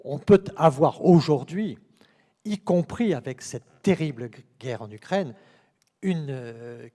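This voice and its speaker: A man moderating a conference panel, talking haltingly and repeating words as he introduces the next speaker. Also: mid-range voice, dark tone. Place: large conference hall. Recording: reverberant conference hall.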